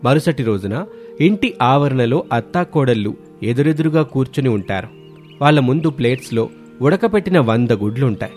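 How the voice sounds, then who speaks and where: A man narrates a scripted family moral tale. His voice sits at a middle pitch, with faint music playing behind it. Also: lively intonation, normal speed, a little mellow.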